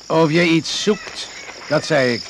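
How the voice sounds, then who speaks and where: An actor playing a shrewd, suspicious male doctor in a radio drama, questioning in a dry, deliberate, accusing manner. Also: on the high side, gravelly. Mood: suspicious, probing. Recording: noisy, hissy old radio recording.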